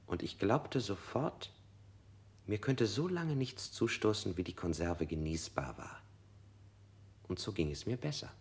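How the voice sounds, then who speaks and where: An actor playing an anxious male suspect in a radio play, narrating in a measured, introspective way. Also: on the low side, animated. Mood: uneasy. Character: anxious, guilt-ridden male suspect under interrogation. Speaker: first-person narrator.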